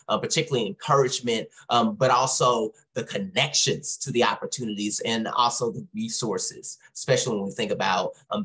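Texan man, 30s, low voice, earnest guest speaker on a video call, lots of ums. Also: fairly bright tone, poor audio. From Texas. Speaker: nonprofit co-founder, guest speaker. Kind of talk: webinar talk. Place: small room at home.

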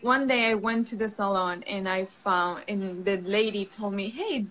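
A woman's voice: mid-range voice, unhurried, a bit bright, low-quality recording. A young woman from Venezuela, telling a personal story on a video call in careful English with restarts. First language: Spanish. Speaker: guest. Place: home room. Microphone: laptop mic on a video call. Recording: video call with faint outdoor noise.

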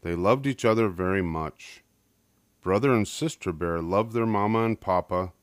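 A man's voice: deep voice, moderate pace, clean tone, dry recording, clean studio sound. An older man narrating a children's picture book as a scripted story reading.

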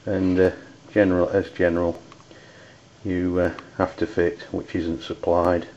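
A man with an English accent giving an unscripted product review in a hesitant, halting way. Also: sits low, monotone, darkish tone. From United Kingdom. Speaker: amateur radio reviewer. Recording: dull lo-fi audio with background noise.

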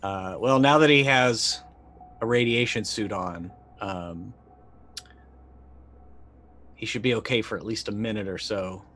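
Hesitant middle-aged man with a lowish voice. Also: a little slow, long pauses, a bit bright. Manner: hesitant, thinking aloud. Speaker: player in a tabletop roleplaying game. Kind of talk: casual improvised roleplay narration. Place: indoor room, on a video call.

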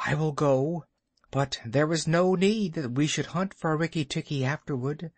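A storyteller voicing a male cobra who speaks in a measured, cold way. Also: mid-range voice, no room sound, pitched above the performer's own voice, lightly acted. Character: hesitant then ruthless male cobra.

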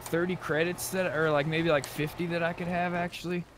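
A man's voice: fairly high pitch, little pitch movement, brisk. A man chatting casually as he guides other players in an online roleplay game. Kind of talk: casual chat while roleplaying in a video game. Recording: faint keyboard and game background noise.

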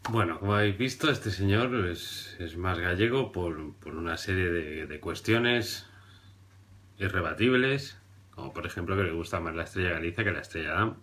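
A man in his thirties from Barcelona with a very deep voice, talking in a dry, sarcastic way. He hesitates and fills gaps with "mm" as he mocks the clips he plays. He is recorded on a webcam at his desk. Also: moderate pace, fairly bright tone. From Barcelona, Spain. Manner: dry, ironic, hesitant with fillers. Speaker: video commentator. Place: indoor room before a printed backdrop.

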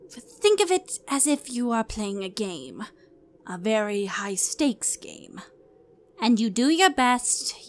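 A woman plays an ancient pony princess in a fan audio drama. She speaks formally and with measured poise, blithe about everything. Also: on the high side, a bit bright, full character voice. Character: stately, blithe immortal female pony princess of the night.

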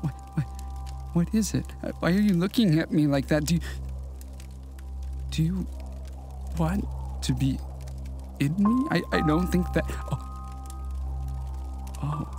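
A man's voice: a little high, a little slow, pauses often, mellow, soft background music, natural voice. A man playing an anguished, lovelorn possessed figure in a horror audio drama, stammering and repeating words. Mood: pleading, confused.

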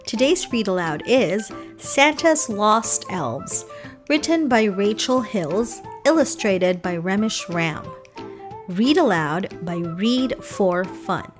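Slow, animated woman storybook narrator. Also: medium pitch. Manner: expressive, rhythmic verse reading. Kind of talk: children's read-aloud, scripted narration. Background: music.

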